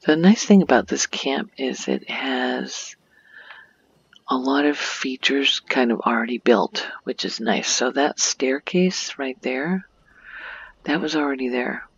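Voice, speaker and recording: A woman softly narrating an ASMR video game tour in a breathy, near-whispered voice, explaining gently and without hurry. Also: very deep voice, slow pace, no room sound, dull audio.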